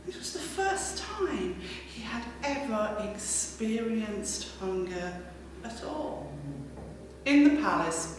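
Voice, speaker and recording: A woman telling a folk tale as a storyteller, expressive and dramatic, with deliberate pauses. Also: on the high side. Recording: very echoey hall, distant mic, faint noise.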